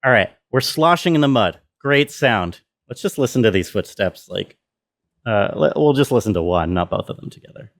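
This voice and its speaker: A man walks through sound design in an informal tutorial style. His voice sits in a middle register. He speaks haltingly, piecing his explanation together as he goes. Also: medium pitch, lively intonation, creaky. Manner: halting, thinking aloud. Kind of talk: casual tutorial walkthrough.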